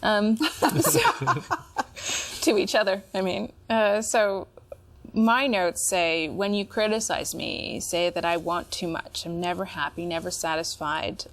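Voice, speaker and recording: A woman speaking as a client in couples therapy, explaining her feelings in a reflective, halting way. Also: fairly low pitch. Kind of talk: reflective personal conversation.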